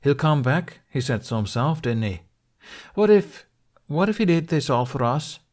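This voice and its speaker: A man's voice: fairly high pitch, expressive, normal speed, a little mellow, voice pushed up, close to an everyday voice. A man voicing an earnest middle-aged Viking in an audiobook, loyally pleading with insistent, emphatic delivery.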